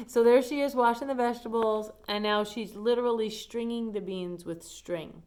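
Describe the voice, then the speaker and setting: A woman's voice: fairly high pitch, measured pace, a little mellow. A woman reading a storybook aloud to children, explaining it in simple asides as she goes. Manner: simple explanatory phrasing, addressing young listeners.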